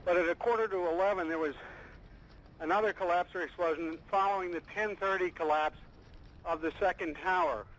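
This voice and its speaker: A man's voice: high-pitched, steady tone, fairly quick, fairly bright tone. A man giving a hesitant, descriptive eyewitness news report over the phone. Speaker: field reporter. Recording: noisy live phone report.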